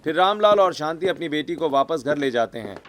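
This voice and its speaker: A man's voice: on the high side, expressive, a little fast, fairly bright tone, slight background noise. A man narrating a scripted cartoon family story.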